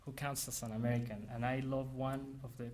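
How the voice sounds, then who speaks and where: A man's voice: mid-range voice, little pitch movement, a little mellow. Earnest male lecturer. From Mexico. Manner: earnest, measured, with frequent restarts. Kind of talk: academic lecture.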